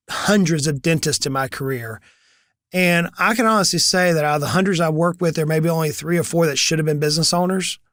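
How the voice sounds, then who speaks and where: High-pitched guy in his 40s, lively storyteller, dry close sound. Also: no echo. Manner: energetic storyteller, acts out quoted conversations. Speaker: business coach and channel host. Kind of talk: animated solo talk to camera.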